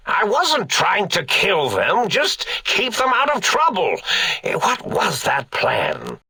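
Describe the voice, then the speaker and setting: An eccentric old mad scientist from a video game, with a raspy, gravelly and sharply bright voice. His pitch sits fairly high and swoops theatrically as he rambles in slow, pompous, absent-minded phrases. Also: wildly swooping pitch, dry recording, full character voice. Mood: befuddled, muttering. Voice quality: raspy, creaky. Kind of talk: video game character acting.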